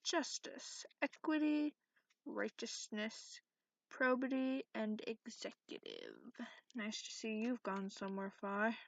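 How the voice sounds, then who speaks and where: A woman voicing a cranky, sarcastic, centuries-old dragon in a soft-spoken ASMR roleplay, haughty and grudging. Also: fairly high pitch, sing-song, takes it slowly, vocal fry, no room sound, exaggerated voice. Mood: dry, sardonic. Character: cranky sarcastic centuries-old dragon who looks young, reading tarot. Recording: dull lo-fi microphone sound.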